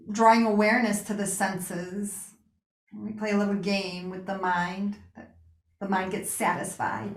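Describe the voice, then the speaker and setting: A woman guiding a relaxation meditation with gentle spoken instruction, pausing and reassuring in a soothing way. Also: mid-range voice. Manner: unhurried pauses, soothing reassurance. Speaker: yoga nidra meditation guide. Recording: roomy, echoing sound.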